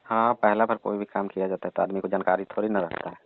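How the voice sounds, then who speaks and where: Actor as teasing, easygoing young male lover, deep creaky voice, prodding questions, tinny telephone sound. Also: little pitch movement, fast talker, lightly acted. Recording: narrow tinny phone line, faint noise.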